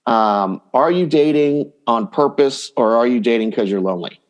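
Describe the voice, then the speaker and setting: A man's voice: mid-range voice, moderate pace. Male dating coach in conversation. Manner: explains through acted-out hypothetical quotes. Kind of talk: conversational podcast interview.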